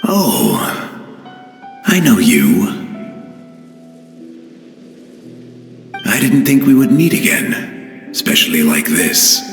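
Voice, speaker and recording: A man's voice: low voice, full character voice. A man voicing the ghost of a fallen scout in a video game, earnest and wistful. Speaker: voice actor in a game. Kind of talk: scripted game cutscene acting. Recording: cavernous reverb effect under loud music.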